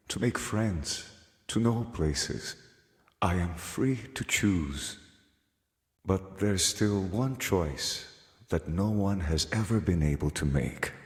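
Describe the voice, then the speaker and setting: An actor playing a solemn, fatalistic prophet of a god of fear in a video game, speaking in a brooding, philosophical way. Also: male voice, low-pitched. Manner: slow, brooding, philosophical delivery. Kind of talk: dramatic video game voice acting. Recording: long cavernous reverb.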